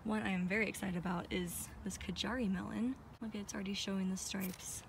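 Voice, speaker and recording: A woman's voice: mid-range voice, fairly even intonation, fairly quick, vocal fry. Chatty American woman vlogging outdoors. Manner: chatty, enthusiastic. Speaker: vlogger giving a garden tour. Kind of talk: casual walk-through narration. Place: outdoors in a backyard garden. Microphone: handheld phone behind the camera. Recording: outdoor phone recording with ambient noise.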